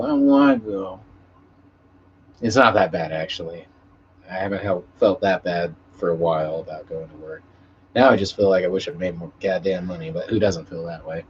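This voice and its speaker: A man hosting a casual live stream, chatting with viewers in a laid-back, rambling way and swearing freely. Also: fairly low pitch. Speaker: musician. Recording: muffled lo-fi stream audio with background noise.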